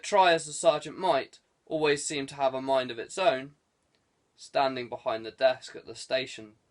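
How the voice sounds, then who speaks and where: A man reading a crime story aloud as scripted audiobook narration. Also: medium pitch.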